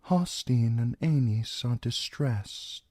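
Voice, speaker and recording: A man voicing a cold, soft-spoken older lord who murmurs everything mildly. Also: mid-range voice, slow, no room sound, clean studio sound, voice pushed up. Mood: mild, cool.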